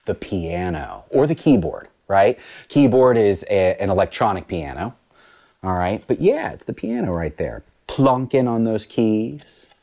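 A man in his thirties reading a picture book aloud and teaching children about musical instruments in an animated, sing-song way, recorded on a lapel mic in a small studio room. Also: fairly low pitch, unhurried, gravelly.

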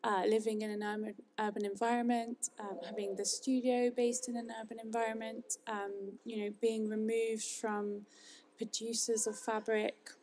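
Woman, thirties, quiet voice, highish pitch, flat delivery, handheld mic. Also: moderate pace, a little hiss or hum. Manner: hesitant, many ums. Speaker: fashion designer panelist. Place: stage in a large hall.

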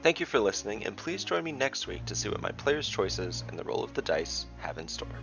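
A man's voice: on the low side, very animated, fairly quick, gravelly, muffled recording, over loud music. A man working as narrator and game master of a tabletop fantasy story.

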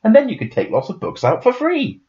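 A man voicing an excitable, eager six-year-old schoolboy in a storybook, exclaiming his words. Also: high-pitched, fairly quick, dull audio, pitched above the performer's own voice. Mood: helpful, eager.

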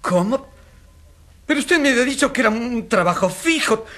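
Poor worker pleading with the boss, voice jumping up and down, radio drama. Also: male voice, very high voice, expressive, normal speed, pushed and bright, exaggerated voice. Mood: dismayed, pleading. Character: pleading, cheated poor male labourer, father of five. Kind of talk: Spanish-language radio drama acting.

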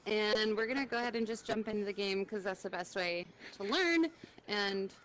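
A woman's voice: medium pitch, moderate pace, a bit bright, slight background noise, poor audio. A woman hosting a game stream on a VR headset, upbeat and welcoming. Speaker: host.

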